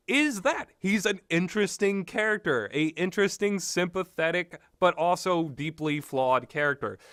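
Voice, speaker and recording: A man's voice: fairly high pitch, pushed and bright, no echo, clean studio sound. A man giving unscripted, opinionated talk as a host, wandering off on tangents and swearing casually. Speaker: host of a video game discussion show.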